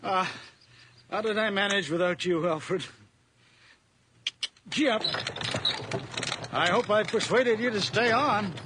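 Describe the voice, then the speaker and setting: An actor playing a kindly, proud old farmer in a radio drama, folksy and a little hesitant. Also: male voice, high-pitched, slow, long pauses. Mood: kindly, flustered. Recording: clearly noisy background.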